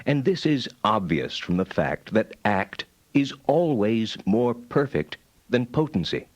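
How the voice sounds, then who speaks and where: Solemn old-world philosopher for an audiobook, lowish voice, measured and declamatory, dry studio sound. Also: male voice, sing-song, dry recording. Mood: solemn, assured. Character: solemn male medieval philosopher-theologian expounding his doctrine. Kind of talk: dramatized reading of philosophical writings.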